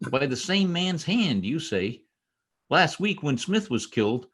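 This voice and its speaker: A man's voice: on the high side, gravelly, a bit bright, breathy edge, heavily acted. A man playing an earnest, protective state trooper in an old time radio drama, dramatic and reassuring, recorded over a video call.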